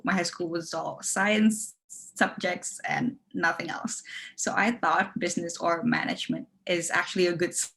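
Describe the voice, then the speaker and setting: A woman, answering earnestly and somewhat haltingly as a student panellist. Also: fairly low pitch, vocal fry, fairly bright tone. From Indonesia. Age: 20s. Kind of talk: informal webinar interview answers.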